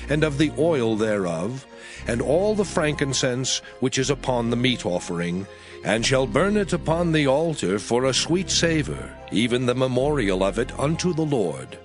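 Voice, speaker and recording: Solemn man reading scripture with lively intonation. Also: medium pitch. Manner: solemn, measured, declamatory. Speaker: scripture reader.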